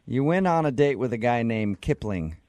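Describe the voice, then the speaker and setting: A man's voice: mid-range voice, lively intonation, normal speed, darkish tone, no room sound. A man hosting a radio show segment, chatting with a caller on the phone from a studio microphone. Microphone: close studio radio microphone.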